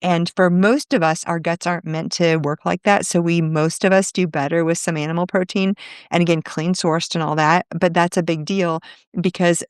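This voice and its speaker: A woman physician explaining her work in an interview, steady and explanatory, listing examples as she goes. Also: lowish voice, moderate pace, clean tone, no room sound, studio quality. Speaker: functional medicine physician.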